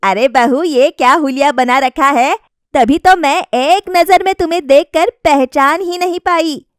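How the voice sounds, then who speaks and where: A stern older mother-in-law played by a woman storyteller, high in pitch and recorded dry. She nags and questions with open displeasure, in the manner of family storybook drama. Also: unhurried, no echo. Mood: disapproving. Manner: scolding, questioning. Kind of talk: acted dialogue in a Hindi family story.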